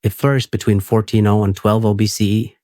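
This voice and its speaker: A man hosting a sleep podcast, reading a script in a steady, unhurried, soothing way. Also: on the low side, dry recording, studio quality.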